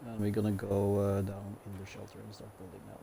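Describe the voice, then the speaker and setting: A man's voice: on the low side, monotone, brisk, vocal fry, very noisy. A man with a Norwegian accent gives easygoing, chatty commentary as a game streamer, with self-mocking jokes. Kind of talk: casual commentary while playing a building game.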